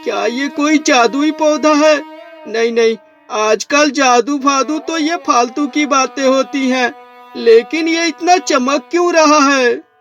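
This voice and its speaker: An actor playing a poor, anxious village farmer in a moral story cartoon, speaking in a plaintive, dramatic way. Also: male voice, extremely high pitch, takes it slowly, a bit bright, highs cut off, exaggerated voice. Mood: startled wonder. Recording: music behind the voice.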